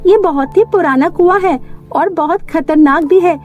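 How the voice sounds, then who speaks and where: A naive, sweet young cartoon heroine acted by a woman narrator with a very high, mellow voice. She speaks emphatically and openly, as in a children's fairy tale, over faint music. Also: measured pace, highs cut off. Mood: alarmed. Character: sweet, trusting, easily frightened young woman. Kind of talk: Hindi animated fairy tale voice acting.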